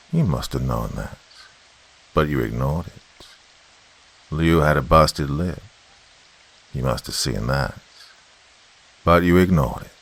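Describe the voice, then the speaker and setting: A man voicing a menacing teenage killer in a horror story, with a low, almost growling voice and a cold, flat, slow delivery. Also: creaky, heavily acted, low-quality recording. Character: menacing, emotionless disfigured teenage son turned killer.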